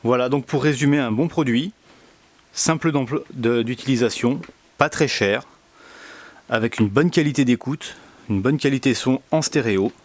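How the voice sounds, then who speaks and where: A man giving a casual product demonstration indoors, speaking in a hesitant, halting way with many pauses. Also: mid-range voice. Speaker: product reviewer.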